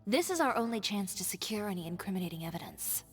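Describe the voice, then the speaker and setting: A woman's voice: mid-range voice, big pitch swings, fast, breathy edge. A woman playing a poised, coolly assertive young fashion designer in an anime video game, composed and self-assured.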